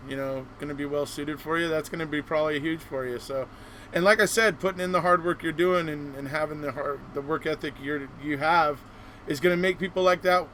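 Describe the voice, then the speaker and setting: A man's voice: fairly high pitch, a bit bright, a little hiss or hum, poor audio. A man hosting a live online show, chatting casually in an encouraging, rambling way. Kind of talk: casual interview chat.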